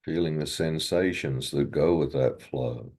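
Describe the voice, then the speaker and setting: Very deep, slow-speaking male meditation guide. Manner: gentle, unhurried, with long pauses.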